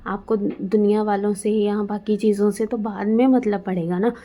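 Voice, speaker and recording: A woman's voice: mid-range voice, little pitch movement, moderate pace, dark tone. A woman giving casual, opinionated commentary in a conversational way. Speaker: video commentator.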